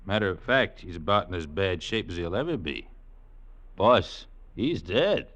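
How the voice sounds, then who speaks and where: An actor playing a dry, matter-of-fact medic for a criminal gang in an old radio drama, casual and blunt. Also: male voice, sits low, expressive, a little slow, a bit bright. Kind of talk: old-time radio crime drama acting.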